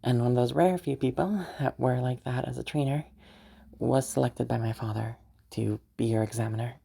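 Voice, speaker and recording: A woman playing an affectionate, teasing young noblewoman in a roleplay audio drama, speaking haltingly and intimately to her knight. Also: very deep voice, vocal fry, slightly rough, heavily acted. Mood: somber, hesitant. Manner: hesitant, halting, with soft chuckles and sighs.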